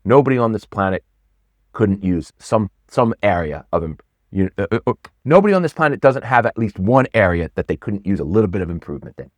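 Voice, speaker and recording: A middle-aged man, a pitch coach, talking casually in an interview, animated, often restarting and repeating words. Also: fairly quick, dry recording. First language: not Swedish; English speaker.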